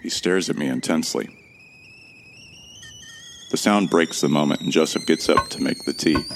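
An actor playing a bewildered, introspective amnesiac from Earth, telling his story in the first person in a measured, gravelly voice for an audio drama. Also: a bass voice, slight background noise. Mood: introspective, tense.

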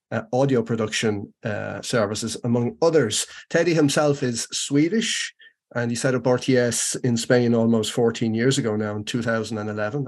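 A man hosting an interview show, reading a measured introduction and asking questions. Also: medium pitch, darkish tone. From Ireland. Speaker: podcast host.

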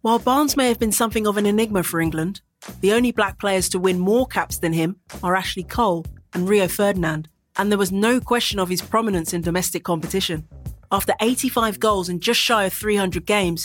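A woman's voice: mid-range voice, a little fast, faint music behind. A woman presenting a documentary in scripted narration, introducing contributors and linking their accounts.

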